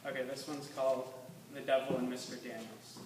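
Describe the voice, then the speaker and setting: A teenage boy reciting slam poetry dramatically, intense and emphatic, moving between hushed lines and forceful ones. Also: medium pitch, flat delivery, fairly quick. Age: teens. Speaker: student slam poet. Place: live stage in a room with an audience. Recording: echoey room, distant mic, faint noise.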